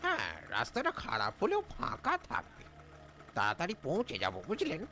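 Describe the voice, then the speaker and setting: A man's voice: high voice, huge pitch swings, a little fast, pushed and bright, slight background noise, heavily acted. A man playing a sly, menacing auto-rickshaw driver in a cartoon story. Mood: sly, evasive. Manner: sly, evasive, gruffly colloquial. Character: shady, menacing male auto-rickshaw driver, secretly a robber.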